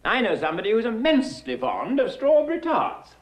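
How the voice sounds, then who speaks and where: A man's voice: very high-pitched, big pitch swings, fairly quick. An actor playing an impatient male speech professor in a classic film musical, drilling his pupil with insistent, clipped corrections. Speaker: phonetics professor.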